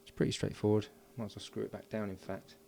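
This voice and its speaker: A man's voice: on the low side, flat delivery, fast, creaky, mellow, slight background noise. A man giving casual, unscripted hands-on commentary as he builds an electronics project, murmuring along while he works. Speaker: hobbyist electronics builder and presenter.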